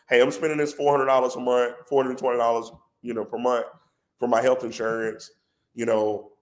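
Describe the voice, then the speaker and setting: A man with a head cold and a congested voice, a company president talking as a podcast guest in an animated, persuasive way. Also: mid-range voice. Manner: animated and persuasive, says "bro" a lot. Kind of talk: casual podcast conversation.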